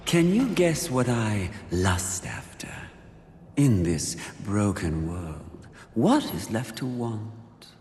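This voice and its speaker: An actor playing a suave, seductive demon of sin in a video game, with silky, theatrical, taunting delivery. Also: male voice, fairly low pitch, very animated, slow, mellow. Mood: silky, mocking. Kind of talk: video game cutscene acting. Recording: echoey hall reverb, faint noise.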